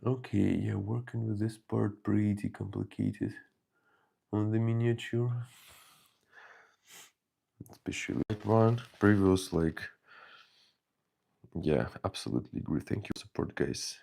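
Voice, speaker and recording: A deep, gravelly man with a Ukrainian accent talks slowly and softly as he paints, thinking aloud in a halting way. His mellow voice drifts through a relaxed hobby stream. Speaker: miniature painting channel host. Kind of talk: casual live painting chat.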